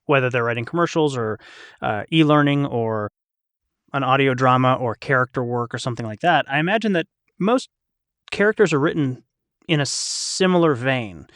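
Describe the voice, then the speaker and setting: A man's voice: mid-range voice, moderate pace, fairly bright tone, no room sound, studio quality. A man hosting a casual panel discussion in a chatty, reflective, wordy way. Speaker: podcast host and voice actor.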